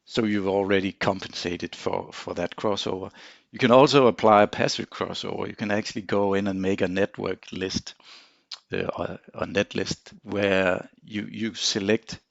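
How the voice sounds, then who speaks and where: A man giving an informal technical screen demonstration, explaining in a halting way with frequent pauses. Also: on the low side, steady tone, gravelly, no echo, muffled recording. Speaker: engineer presenting a software tutorial.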